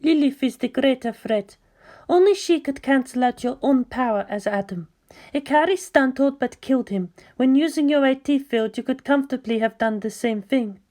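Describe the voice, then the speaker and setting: Young zealot follower, female, woman performer: high-pitched, measured, bitter and disdainful, for scripted audio fiction. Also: a little mellow, close to an everyday voice. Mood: devoted, assured. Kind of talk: scripted audio drama reading.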